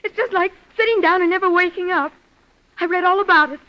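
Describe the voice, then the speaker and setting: Hysterical, unstable woman, vintage radio mystery. Very high voice, breathless and halting, muffled period recording. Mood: frantic, manic. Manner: breathless, halting, repeating herself. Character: hysterical, unhinged woman confessing to a murder. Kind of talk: 1940s radio mystery acting.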